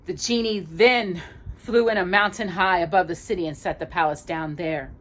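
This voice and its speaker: A woman reading a children's story aloud, expressively, with dramatic, measured phrasing and pauses. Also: on the low side, fairly bright tone. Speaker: storytime reader.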